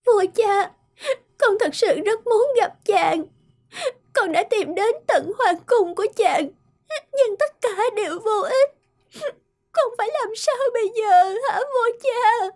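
A woman's voice: very high voice, slow pace, exaggerated voice. A woman voicing a young princess in love in a cartoon fairy tale, earnest and emotional. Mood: heartbroken, pleading. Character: young princess in love, sweet, pleading, heartbroken. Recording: loud background music.

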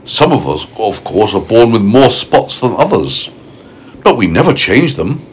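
A man's voice: lowish voice, very animated, unhurried, slight background noise. A man voicing a proud, prim female ladybird from a storybook, genteel and fussy. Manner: genteel, self-satisfied, fussy.

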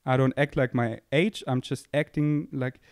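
A man's voice: medium pitch, fairly even intonation, moderate pace, a little mellow, no echo. A young man hosting a podcast, rambling in a playful, self-mocking way as he thinks aloud, seated indoors and speaking into a handheld microphone held close. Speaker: podcast host. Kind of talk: casual rambling monologue. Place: indoor room, seated on a couch.

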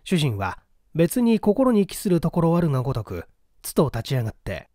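Mellow, expressive male mystery narrator. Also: fairly high pitch, moderate pace, no room sound, clean studio sound. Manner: formal storytelling. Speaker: audiobook narrator. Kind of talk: dramatic scripted narration of a classic mystery novel.

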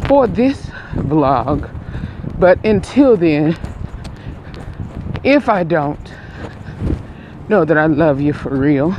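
A man's voice: fairly high pitch, noisy background. A man in his twenties vlogging casually while walking outdoors on a street, talking through an earbud mic and rambling with frequent restarts. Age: 20s. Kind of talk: casual vlog. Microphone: earbud headset mic.

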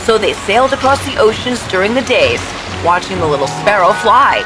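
Lively woman narrating a fairy tale. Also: medium pitch, a little fast, music in the background, rough recording.

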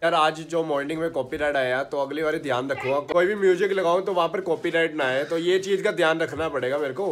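A man's voice: fairly high pitch, quick pace, hardly any pauses, a bit bright, a little hiss or hum. Chatty young man vlogging at home. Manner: chatty, narrating his day to the camera. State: sore throat. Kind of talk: casual vlog chat. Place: small room at home. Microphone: phone held at arm's length.

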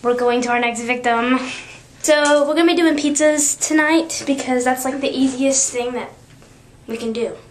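A woman's voice: high voice, normal speed. A teenage girl chatting casually to camera as an amateur video host, with a giggly manner. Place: small indoor room at home. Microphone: camera's built-in mic at arm's length. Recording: noisy home camera recording.

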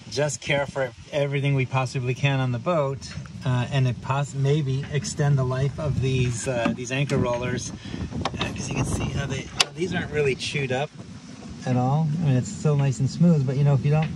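A man in his fifties casually explaining a boat chore as a sailing vlog host, relaxed and chatty with many ums and restarts, recorded on camera outdoors on a catamaran at a marina. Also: mid-range voice. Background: wind and harbor noise.

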